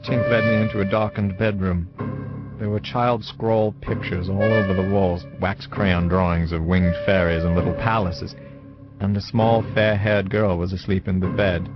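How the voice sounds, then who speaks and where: An actor playing a wistful man who recalls his youth in measured, literary first-person dramatic narration. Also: sits low, fairly even intonation, lightly acted. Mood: detached, recollecting. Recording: dull lo-fi audio with music. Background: music.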